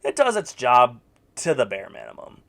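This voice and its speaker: A young adult delivers a scripted film review in a sarcastic, animated way, handing out blunt verdicts. The voice sits at a middle pitch but leaps up and down with lively inflection. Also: normal speed. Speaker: video reviewer. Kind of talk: scripted movie review commentary.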